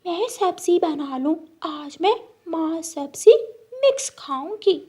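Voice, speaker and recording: Woman voicing a sly high-pitched greedy fox. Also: expressive, slow, a little mellow, exaggerated voice. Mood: taunting. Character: sly greedy female fox scheming to eat a goat. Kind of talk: cartoon story dialogue.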